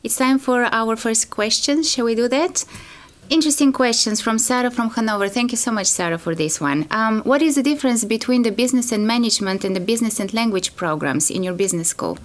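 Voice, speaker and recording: A woman's voice: on the high side, fairly quick. A woman lecturer hosting a studio webcast panel, polite and a little hesitant, with frequent fillers. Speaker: host and lecturer.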